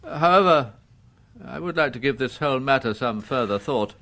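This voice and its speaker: An older man with an English accent, acting a proud, icy judge in a radio drama, with formal, measured, pompous diction. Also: medium pitch, animated, sharp tone. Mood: grave, reflective.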